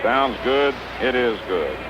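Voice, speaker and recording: A noisy, muffled old radio broadcast carries an American man's baseball commentary. His voice sits at an average male pitch, and he speaks in measured, clipped phrases in a 1950s announcing style. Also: big pitch swings. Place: ballpark broadcast booth.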